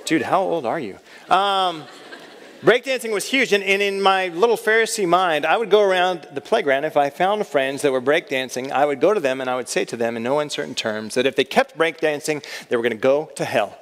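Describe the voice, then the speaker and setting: A man in his thirties speaks at a college chapel in a slightly high voice. He talks in a relaxed, conversational way and pokes fun at himself. A long hall reverb trails behind each phrase. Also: brisk. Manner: conversational, self-deprecating humor. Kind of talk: chapel talk.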